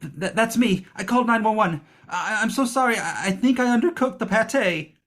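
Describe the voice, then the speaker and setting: A man's voice: high voice, animated, moderate pace, fairly bright tone. A man playing a timid, naive, apologetic young cooking student with a nervous stammer in a comic audio play. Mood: nervous, confused.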